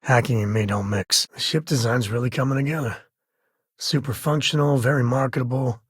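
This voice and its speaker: An actor playing a rugged space-ranger father in a video game, with a husky, raspy voice and a wry, earnest, conversational manner. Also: medium pitch, fairly even intonation, fairly quick, no room sound, studio quality, lightly acted. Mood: wry, steady. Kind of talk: video game character voice lines.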